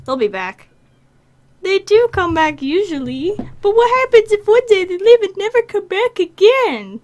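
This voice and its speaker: A little boy from a video game speaks in a very high, childlike voice. He sounds sulky and plaintive, scolding and wistful by turns. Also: sing-song, measured pace, darkish tone, muffled recording, full character voice.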